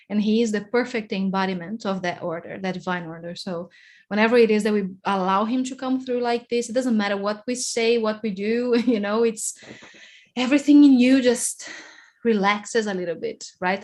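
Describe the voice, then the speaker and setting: A woman's voice: medium pitch, darkish tone. A woman co-hosting a spiritual session in a casual, conversational style.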